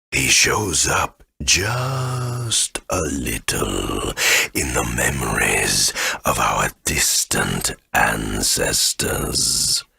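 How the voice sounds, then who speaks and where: A man voicing a huge, ancient great elder tree in a video game, with a raspy voice and a halting, rambling way of remembering. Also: pushed and bright, heavily acted. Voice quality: very deep, gravelly, raspy. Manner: slow, halting, rambling recollection.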